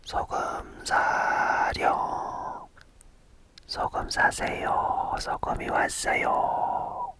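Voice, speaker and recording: A man's voice: extremely slow, pushed and bright. A man whispering the part of a sly, cheerful salt seller in a folk tale. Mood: hawking.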